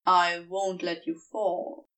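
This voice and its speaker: Audiobook character: calm, stern male partner, played by a woman. Lowered pitch, unhurried commands, tender authority, slightly distant mic. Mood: calm, commanding. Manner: stern, measured instructions.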